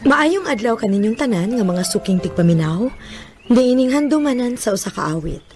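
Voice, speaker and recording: A woman's voice: on the high side, animated, moderate pace. A woman with a Cebuano accent hosting a radio drama with a scripted greeting to listeners. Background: music.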